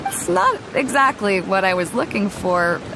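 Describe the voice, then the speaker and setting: A bold, hearty ship captain. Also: female voice, medium pitch, big pitch swings, takes it slowly, noisy background. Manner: confident, laid-back, laughs easily. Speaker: game character. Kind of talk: game cutscene voice acting. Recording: background game music under the voice.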